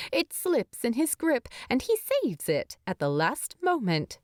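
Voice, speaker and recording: A woman reading a story as an audiobook narrator, expressive and dramatic, close to the microphone. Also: mid-range voice, no room sound. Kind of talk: expressive scripted fiction narration.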